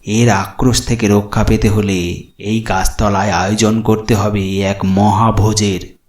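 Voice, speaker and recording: A man's voice: fairly low pitch, level tone, unhurried, a little mellow, echoey room, pitched below the performer's own voice, natural voice. A man voicing a solemn, authoritative tantric holy man who makes grave pronouncements in a horror cartoon. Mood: grave, commanding.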